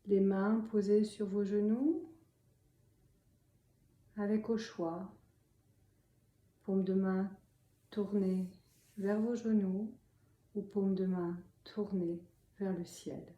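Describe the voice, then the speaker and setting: A woman leads a guided meditation in a very mellow, soft and breathy voice, often close to whispering. She speaks slowly and calmly, leaving long pauses between gentle instructions. The room adds noticeable echo. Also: medium pitch, highs cut off. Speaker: meditation and yoga teacher. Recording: roomy echo, faint background noise.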